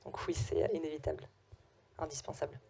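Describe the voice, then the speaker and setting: Low-voiced French woman giving a talk. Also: very animated, brisk, gravelly, mellow, breathy edge. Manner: hesitant, many "euh" fillers and restarts. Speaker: conference speaker, company CEO. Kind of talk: conference talk with Q&A.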